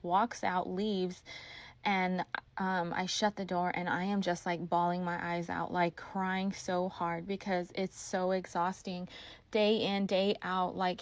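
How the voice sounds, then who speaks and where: Woman, medium pitch, emotional, passionate, emphatic, candid storytelling, venting, frequent "like". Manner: emotional, venting, says "like" constantly. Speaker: online content creator.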